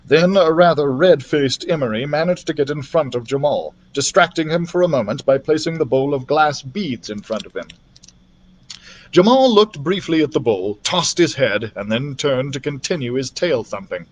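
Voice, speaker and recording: Animated man reading a children's story aloud. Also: on the high side, normal speed, slight background noise, poor audio. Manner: animated, acts out the characters' dialogue. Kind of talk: expressive read-aloud narration of a children's fantasy story.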